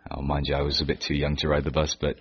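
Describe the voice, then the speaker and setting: A man from Canada with a Canadian accent that keeps traces of British, answering interview questions in a hesitant way with frequent pauses. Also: very deep voice, monotone, slight background noise. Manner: hesitant, pauses and restarts often. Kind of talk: casual interview chat. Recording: dull lo-fi recording.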